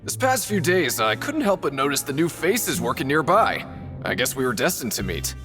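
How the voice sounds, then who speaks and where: A man's voice: mid-range voice, very animated, normal speed, over music, natural voice. A man playing an affable, folksy veteran prison factory worker in a video game, sociable and a little self-important. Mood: friendly. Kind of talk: animated video game voice acting.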